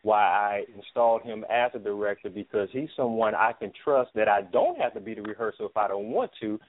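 A man answering interview questions as a theater producer, speaking haltingly and often repeating his words. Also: on the low side, lively intonation. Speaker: stage play writer and producer. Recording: thin phone-line sound.

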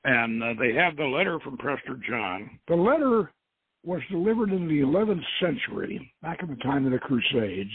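Very husky guy telling a story, stumbles and repeats, thin phone-quality sound, no room echo. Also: mid-range voice, sing-song. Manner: halting, repeats words and phrases. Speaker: host, reader. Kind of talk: informal recap and dramatic reading of a novel. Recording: muffled narrowband radio-like audio.

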